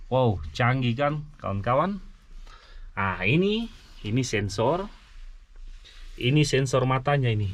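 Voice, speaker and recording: A man's voice: mid-range voice, highs cut off. A man presenting a vehicle walkaround vlog, explaining things enthusiastically and speaking directly to his viewers. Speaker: vlogger, mine vehicle driver. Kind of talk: vlog truck walkaround and review.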